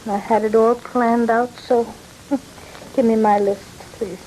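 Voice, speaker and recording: A worried middle-aged mother in an old radio play, her voice a touch high but mellow and soft-edged. She frets and stumbles over her words, with a faint hiss of the old recording behind her. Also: little pitch movement, poor audio. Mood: fretting. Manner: hesitant, fretting, halting. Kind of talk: 1930s radio drama acting.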